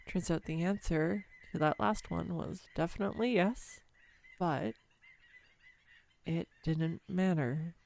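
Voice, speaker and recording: A woman's voice: low voice, vocal fry, a little mellow, full character voice. A woman playing a clumsy, sweetly awkward young woman smitten on a first date, speaking tenderly and playfully to the listener in a romantic audio roleplay. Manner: tender, playful, hesitant, self-deprecating. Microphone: close USB microphone, dry room.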